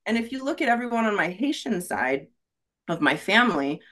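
A woman's voice: mid-range voice, big pitch swings, a little fast, fairly bright tone. A woman being interviewed on a podcast, talking candidly and earnestly, often checking in with "right?". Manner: reflective and earnest, frequent "right?" tags. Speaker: podcast guest, rock climbing guide.

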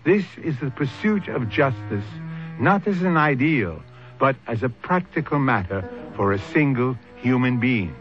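Casting: male radio drama host, lively rise and fall, deliberate theatrical narration, music behind, slightly dull old recording. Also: medium pitch.

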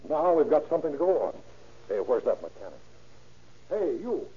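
An actor playing a businesslike police detective in a 1930s radio drama, clipped and authoritative. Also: male voice, on the high side, very animated, moderate pace, slightly rough, full character voice. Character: brisk, businesslike male police lieutenant detective. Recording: muffled, hissy old radio recording.

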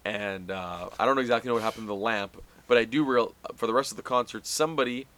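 A man's voice: on the low side, fast, a bit bright. A man co-hosting a casual chat, recapping events in a rambling way with plenty of fillers. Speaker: podcast co-host.

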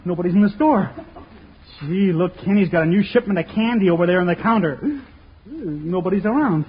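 A man's voice: high voice, lively intonation, brisk. An actor playing a bashful, naive and goofy young man in 1940s radio comedy style, hesitant and stammering. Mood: casual. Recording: noisy, dull 1940s radio recording.

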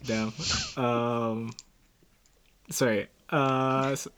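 A man's voice: mid-range voice, level tone, extremely slow, fairly bright tone, clean tone. A young man with a Canadian accent, interviewing a fellow student in a casual, playful way and laughing a lot. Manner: playful, laughs often, quotes himself.

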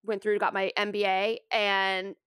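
An American woman in her late thirties with a smooth, clear voice at a medium pitch. She chats casually, thinking aloud in halting phrases, in a dry recording free of echo. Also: flat delivery, fairly bright tone. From Maine, USA. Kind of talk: casual chat.

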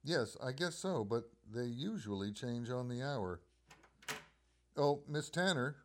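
A man's voice: mid-range voice, lively intonation, measured pace, natural voice. Guy playing polite phone company boss, mid voice, explains stuff nicely, radio drama, noisy audio. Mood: informative, businesslike. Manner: courteous, explanatory, crisp phrasing. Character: obliging, businesslike male telephone company supervisor.

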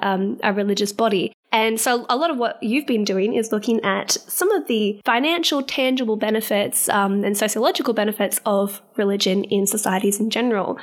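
A woman interviewing a guest, warm and affirming, asking long framing questions. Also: medium pitch. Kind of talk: conversational interview.

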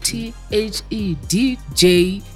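A woman's voice: mid-range voice, slow pace, soft background music. A woman co-hosting a podcast, chatting casually in a playful, teasing, giggly manner. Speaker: podcast co-host. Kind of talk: casual chat.

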